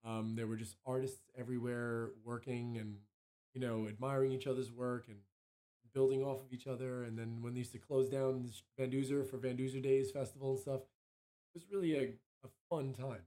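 Hoarse, mellow-voiced man chatting casually. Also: medium pitch, flat delivery, normal speed. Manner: rambling, self-interrupting. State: hoarse from allergies, clearing throat. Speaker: musician, songwriter guest. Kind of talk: casual interview chat.

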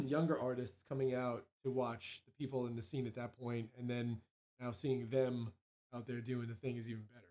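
A man with a hoarse voice and a dark, mellow tone speaks at a middling pitch. He talks as a songwriter guest in a casual interview, rambling and often interrupting himself mid-thought. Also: flat delivery, normal speed. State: hoarse from allergies, clearing throat.

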